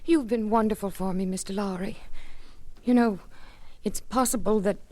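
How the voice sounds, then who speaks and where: A woman's voice: medium pitch, normal speed, a little mellow, no echo, natural voice. An actress in a 1940s radio drama playing a troubled, trusting young woman with a fragile, earnest manner.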